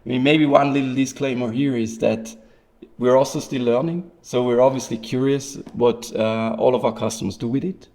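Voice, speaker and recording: A man's voice: medium pitch, moderate pace, darkish tone. A man moderating a keynote, talking in a loose, rambling, unscripted way with fillers. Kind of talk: casual unscripted chat.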